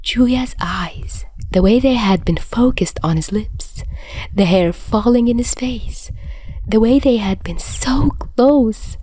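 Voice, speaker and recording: A woman narrating fan fiction as an audio reader, in an expressive storytelling style. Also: fairly high pitch, slow, breathy edge, dry recording, poor audio. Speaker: podfic narrator.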